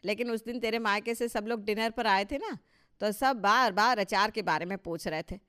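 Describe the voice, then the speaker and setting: A touchy, bossy older mother-in-law in a family cartoon, acted by a woman. Her pitch sits in the middle of the female range. She speaks with proud emphasis and a boastful, scolding manner. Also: moderate pace, bright, forceful tone, clear, resonant tone, close to an everyday voice. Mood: determined. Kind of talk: Hindi cartoon story dialogue.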